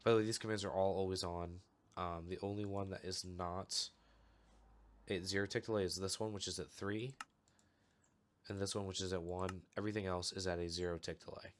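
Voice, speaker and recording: A young man recovering from a cold, calmly walking through a step-by-step game tutorial with a slightly off voice. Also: deep voice, fairly quick, a little hiss or hum. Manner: relaxed, explanatory, steady walkthrough with fillers. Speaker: channel host giving a game tutorial. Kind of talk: casual screen-recorded tutorial.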